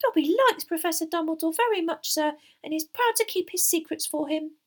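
A woman voicing a small, nervous, devoted male house elf for a storybook, squeaky, deferential and excitable, with broken grammar. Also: brisk. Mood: earnest, confidential.

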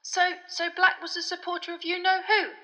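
Woman as an anxious, high-voiced boy. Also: very animated, moderate pace, bright, forceful tone, big-room sound, full character voice. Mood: apologetic. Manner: hesitant, hasty. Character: anxious, apologetic boy wizard, earnest. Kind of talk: audiobook character voice.

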